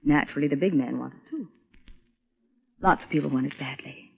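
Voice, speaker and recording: Scheming American femme fatale, seductive, coaxing, intimate, 1940s radio drama, narrow tinny recording. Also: female voice, sits low, a little fast, creaky, breathy edge, exaggerated voice. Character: seductive, scheming, soft-hearted female blackmail accomplice. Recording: thin, phone-like vintage radio recording.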